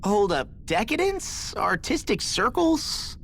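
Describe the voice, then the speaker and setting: A woman's voice: medium pitch, very animated, slow, fairly bright tone, a little hiss or hum, full character voice. A woman voicing a wry, teasing young fighter in a video game, casual and sardonic. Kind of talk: video game dramatic acting.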